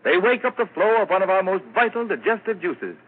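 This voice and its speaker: A man announcing and pitching products in 1940s radio commercial style. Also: lively intonation, fairly quick. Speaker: radio announcer reading adverts. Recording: muffled, tinny 1940s radio recording.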